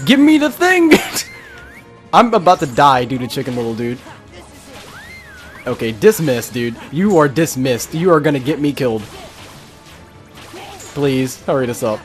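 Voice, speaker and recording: Lively man commentating on a video game. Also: medium pitch. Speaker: gaming video creator. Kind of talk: casual live game commentary.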